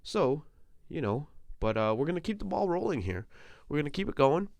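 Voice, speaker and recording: A man's voice: a little high, sing-song, brisk, creaky, a little mellow, no room sound. A young man hosting a solo sports podcast, talking casually and ranting, swearing freely, sounding like he is getting over a cold. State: recovering from a cold. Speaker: podcast host. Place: small compact room.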